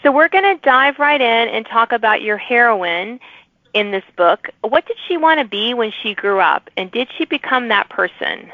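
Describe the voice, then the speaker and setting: A woman's voice: a little high, animated, moderate pace, no echo. A woman hosting a podcast interview in an enthusiastic, encouraging, conversational manner. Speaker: podcast host. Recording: narrow phone-like audio.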